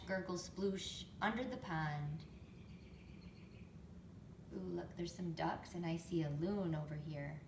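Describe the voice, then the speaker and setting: A woman reading a picture book to young children expressively, pausing to explain things and ask them questions. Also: fairly low pitch. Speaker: storytime reader, teacher to young children. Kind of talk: picture book read-aloud with chatty asides. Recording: roomy, distant mic, faint background noise.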